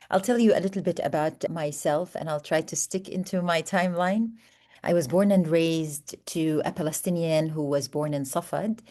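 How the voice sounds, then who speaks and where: Author in her fifties, raised in Saudi Arabia; low, warm voice, earnest and steady. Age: 50s. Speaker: contributing author. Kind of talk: personal introduction on a panel.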